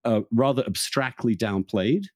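A man hosting a podcast, arguing a political point earnestly and deliberately, with some hesitation. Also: mid-range voice, a little mellow, no echo, studio quality. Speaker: podcast host.

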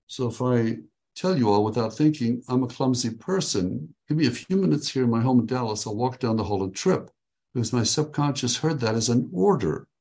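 Man, workshop leader on a video call from home, upbeat and joking, middle pitch, dictates key phrases slowly. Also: expressive, darkish tone. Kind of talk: coaching a group on a video call. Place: his home.

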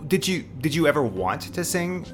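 Male podcast host, animated intonation, light music behind. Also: on the high side, moderate pace. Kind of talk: interview questions.

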